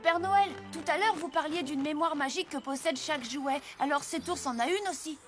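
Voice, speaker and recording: A high, youthful boy's voice acted by a woman, earnest and full of wonder. This tender-hearted cartoon apprentice speaks over a musical backdrop. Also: brisk, natural voice. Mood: eager. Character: earnest, tender-hearted orphan boy, Santa's young apprentice. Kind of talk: animated cartoon dubbing. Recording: music behind the voice.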